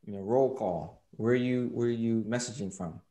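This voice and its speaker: A man moderating an online panel over a video call, upbeat, with quick affirmations between speakers. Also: medium pitch, warm tone.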